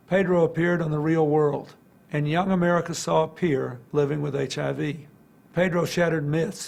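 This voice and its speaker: A man giving solemn, measured scripted documentary narration. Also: on the high side, gravelly.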